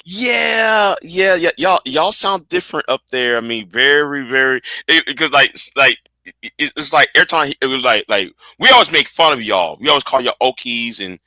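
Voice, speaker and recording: A Texan man hosts an interview, stumbling and restarting as he asks his questions. His voice has a very bright, sharp edge, and the recording is dry and close. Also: mid-range voice, animated, no echo. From Texas. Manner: halting, many restarts and fillers. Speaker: interview host. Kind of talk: casual interview.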